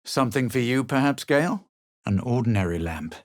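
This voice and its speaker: An actor playing a self-assured, superior young male adventurer in a video game, speaking in a measured, aloof, dramatic way. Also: mid-range voice, animated, no echo, clean studio sound, lightly acted. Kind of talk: video game character lines and storytelling narration.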